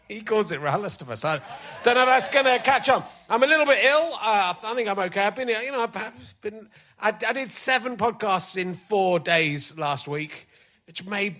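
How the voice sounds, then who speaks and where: A male comedian hosting a live podcast on a theatre stage, chatty and self-mocking, his voice slightly croaky from illness. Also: high voice, bright, forceful tone. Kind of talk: live stand-up banter on stage.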